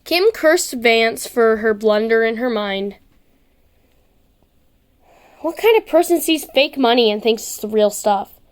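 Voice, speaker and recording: A woman's voice: on the high side, expressive, a little slow, long pauses, clean tone. A woman narrating a story expressively as an amateur reader, sometimes stumbling and rereading words.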